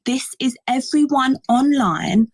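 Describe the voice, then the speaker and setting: Upbeat woman with a croaky voice on a video call, walks through tips step by step, highish pitch. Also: expressive, takes it slowly, dry recording. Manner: upbeat, chatty, explains step by step. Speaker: guest speaker. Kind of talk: giving social media tips on a call.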